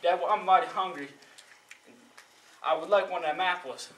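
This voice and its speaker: A man's voice: sits high, normal speed, fairly bright tone, distant mic. A man voicing a sly, hard-drinking, folksy trickster in a told folktale, mock-apologetic, recorded in a noisy, roomy space. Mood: wheedling.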